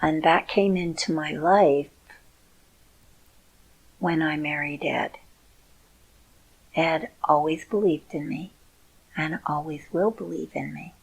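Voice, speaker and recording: A middle-aged woman speaks to her viewers with slow, soothing encouragement. Her voice sits low and carries a gravelly, husky texture. She takes her time over every phrase. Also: fairly even intonation, rough recording. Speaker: video host. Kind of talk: heartfelt personal talk to viewers.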